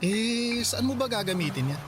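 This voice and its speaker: Gentle young college boyfriend, fairly high-pitched. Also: male voice, sing-song, moderate pace, over music. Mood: obliging. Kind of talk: Tagalog radio drama acting. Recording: clear background noise.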